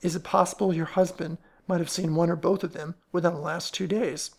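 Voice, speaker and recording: A woman doing a brisk, impatient detective. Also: fairly low pitch, fairly even intonation, vocal fry. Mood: sharp. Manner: sharp, clipped questioning.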